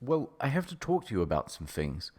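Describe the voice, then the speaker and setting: A man's voice: medium pitch, huge pitch swings, a little fast, a crowd in the background. A man reading fan fiction mock-seriously as a melodramatic, sulky, foul-mouthed teenage goth girl narrator.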